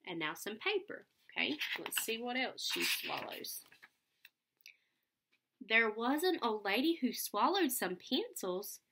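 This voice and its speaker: Bright-voiced woman reading to children, unhurried. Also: mid-range voice. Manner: simple explanations, repeated prompting questions. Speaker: teacher reading a picture book aloud to children. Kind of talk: children's read-aloud with simple questions to listeners. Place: small furnished room at home, indoors. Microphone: computer webcam at about arm's length.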